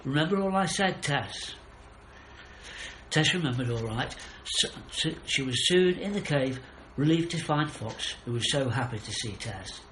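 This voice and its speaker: Guy reading kids audiobook, dramatic, medium pitch, echoey room, bit of noise. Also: expressive, normal speed, hardly any pauses, fairly bright tone. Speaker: author narrating own audiobook. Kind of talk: scripted children's story narration. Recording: roomy, faint background noise.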